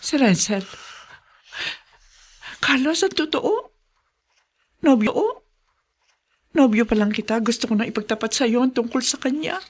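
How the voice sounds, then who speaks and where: A woman's voice: fairly high pitch, animated, measured pace, pauses often. A woman playing an anguished, resolute mother in a Filipino radio drama, speaking earnestly and with emotion. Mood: anguished, confessing. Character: anguished, resolute mother, a mayor's wife confessing her past.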